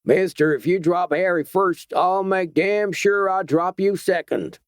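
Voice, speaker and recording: A man's voice: high voice, measured pace, clean tone, no room sound, studio quality, pitched above the performer's own voice. A man voicing a threatening rural male gunman with a thick voice, in an audiobook.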